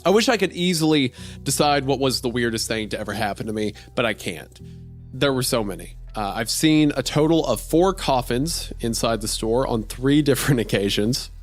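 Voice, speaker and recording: A man's voice: medium pitch, lively intonation, normal speed. A man narrating a strange first-person horror-comedy story in a wry, conversational way. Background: faint music.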